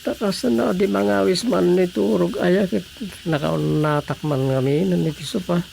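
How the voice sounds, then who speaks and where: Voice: medium pitch, moderate pace, creaky, darkish tone, slight background noise, poor audio. An actor with an Ilocano accent playing a musing, muttering reader in a comic radio drama, rambling with sighs and interjections. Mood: drowsy grumbling. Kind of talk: radio drama comedy monologue.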